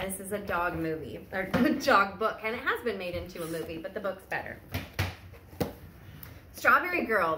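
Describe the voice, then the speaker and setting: Echoey room with a bit of noise, woman in her 30s chatting to camera like a teacher, phone recording. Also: medium pitch. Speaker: teacher introducing a children's summer reading challenge. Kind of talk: casual presentation to camera. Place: indoor room at home, sitting on a wooden floor against a wall. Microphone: phone camera a short distance away.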